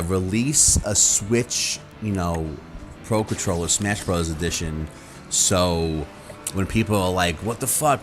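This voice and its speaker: A man talking casually and conversationally to his viewers as a video host, rambling and acting out imagined reactions. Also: on the low side. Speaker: YouTube host.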